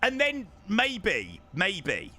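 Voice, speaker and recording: A young man chatting casually to his live-stream viewers, telling stories with lively, animated delivery and answering chat. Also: high voice, very slow. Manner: chatty, animated storytelling, reads chat aloud. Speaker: flight sim streamer.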